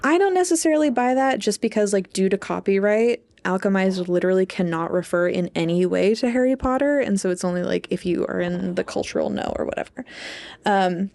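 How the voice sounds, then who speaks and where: A woman's voice: mid-range voice, fairly quick, creaky, a little mellow. A young adult woman co-hosting a podcast in casual chat, rambling and peppering her talk with "like". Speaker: podcast co-host, English PhD student.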